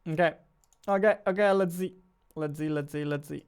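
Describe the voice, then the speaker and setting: A man's voice: fairly high pitch, moderate pace, clean tone. A man in his twenties chatting casually as he live-codes, muttering to himself and repeating words. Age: 20s. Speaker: game developer and streamer. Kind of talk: casual live coding chat. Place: small indoor room at a computer. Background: keyboard typing and clicking, faint music.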